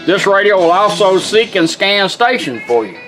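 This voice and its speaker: Higher-voiced man demonstrating, music behind. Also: big pitch swings, moderate pace. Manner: plain-spoken, folksy, step-by-step. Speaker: vintage car radio restorer demonstrating a product. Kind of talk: informal hands-on product demonstration.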